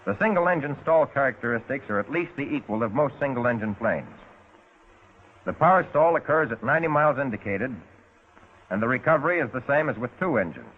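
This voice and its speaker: An American man narrating a 1940s instructional film in a measured, precise, instructive way, as a flight instructor would. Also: mid-range voice, slightly rough. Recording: tinny narrow-band 1940s film soundtrack.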